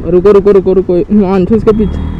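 High-voiced young man on a motorbike. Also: little pitch movement, fairly quick, phone-quality sound. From India. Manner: agitated, keeps calling viewers to look. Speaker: motovlogger. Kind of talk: excited riding commentary. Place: outdoors on a city road, riding a motorbike. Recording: faint traffic noise outdoors.

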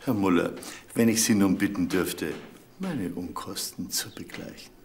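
An actor playing a mercenary broker of noble titles in a German crime radio drama, formal and insinuating. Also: male voice, deep voice, expressive, measured pace, a little mellow, slight background noise. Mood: smooth, pressing.

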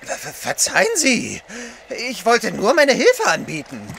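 A man's voice: high-pitched, wildly swooping pitch, a little slow, vocal fry, sharp tone, a little hiss or hum, heavily acted. An actor playing a timid, put-upon servant in an audio drama, flustered and nervous in his speech. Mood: flustered, aggrieved. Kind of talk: German radio play acting.

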